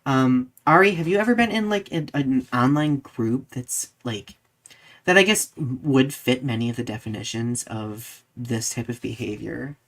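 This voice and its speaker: A man's voice: mid-range voice, unhurried, fairly bright tone. Man hosting a casual discussion podcast. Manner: conversational, peppers talk with "like" and "right?". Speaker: podcast host.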